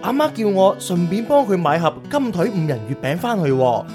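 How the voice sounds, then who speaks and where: High-voiced, excitable young sidekick. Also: male voice, moderate pace, over music. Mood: eager, chatty. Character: excitable, chatty young male sidekick, often hungry. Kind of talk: Cantonese radio drama acting.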